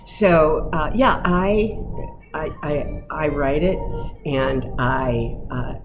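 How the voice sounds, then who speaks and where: A woman cabaret singer with a low voice, chatting casually in a radio interview, self-deprecating and quick to laugh. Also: expressive. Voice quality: lower voice. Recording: music playing loudly underneath.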